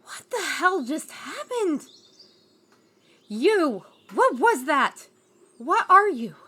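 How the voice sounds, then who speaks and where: A high, very animated voice acted by a woman for a hot-headed female rebel guard in a fantasy audio drama. She is blunt, scornful and combative, suspicious of strangers and fiercely protective. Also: takes it slowly, heavily acted. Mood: alarmed, demanding. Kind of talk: fantasy audio roleplay acting.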